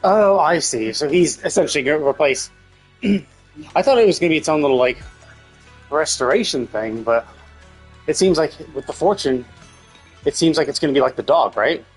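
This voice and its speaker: A man's voice: fairly high pitch, expressive, moderate pace, pauses often, breathy edge, soft background music. A man streaming a game live with casual commentary, thinking aloud tentatively and correcting himself as he goes.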